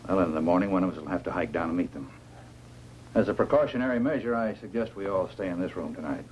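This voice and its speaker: Actor as low-voiced, grave 1950s film doctor. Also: male voice, a little mellow, highs cut off, slight background noise, lightly acted. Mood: grave, reassuring. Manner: measured, grave, reassuring. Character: calm, weary male doctor and scientist, grave and kindly. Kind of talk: 1950s science-fiction film acting. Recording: 1950s film soundtrack with music.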